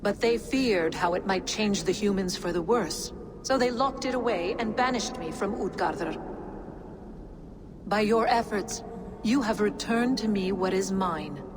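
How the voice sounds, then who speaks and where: Slow, solemn banished giantess played by a woman. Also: mid-range voice, background noise, lightly acted. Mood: solemn, wounded. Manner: slow, grave, formal. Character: solemn banished giantess sorceress mourning her husband. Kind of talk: dramatic video game acting.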